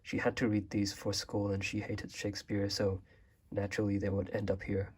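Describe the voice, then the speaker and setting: Young male vlogger from Hong Kong, native Chinese speaker; deep, monotone, slightly husky; relaxed and hesitant. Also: normal speed. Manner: relaxed and rambling, many fillers and hesitations. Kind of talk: casual bookshelf tour.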